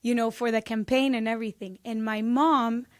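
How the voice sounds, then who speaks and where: A woman's voice: fairly high pitch, fairly even intonation, moderate pace, dry recording, clean studio sound. A woman in her twenties from Peru, telling her story as a podcast guest in a bubbly, laughing way. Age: 20s. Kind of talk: casual interview chat.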